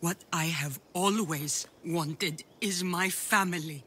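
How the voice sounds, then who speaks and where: Resolute mercenary, slow and grave. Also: female voice, on the low side, a bit bright, slight background noise. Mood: grim resolve. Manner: measured, earnest, grave. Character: resolute, weary mercenary sister, wanting her family back. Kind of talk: dramatic video game acting.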